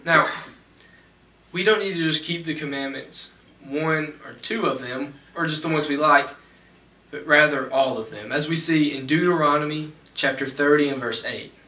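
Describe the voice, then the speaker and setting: A young man preaching a sermon from a church pulpit, reading scripture slowly and deliberately. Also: on the high side, bright, forceful tone. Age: young adult. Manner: measured, slows for scripture reading. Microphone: pulpit mic, far camera. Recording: echoey church from far off.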